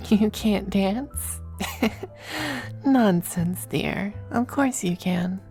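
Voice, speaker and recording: Woman doing a possessive demon femboy for asmr, unhurried n crackly, breathy, teasing, fancy manners. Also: mid-range voice, warm tone. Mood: reassuring, suave. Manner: genteel, languid, commanding endearments. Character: suave possessive high-ranking demon femboy, affectionate and teasing. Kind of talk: ASMR roleplay.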